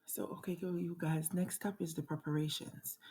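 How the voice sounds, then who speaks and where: A woman in her forties, hoarse from a cold, chatting to viewers about travel costs in a casual vlog with frequent ums. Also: lowish voice, level tone, moderate pace, dark tone, rough recording. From New York. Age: 40s. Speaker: budgeting channel host. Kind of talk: casual talking-to-camera vlog.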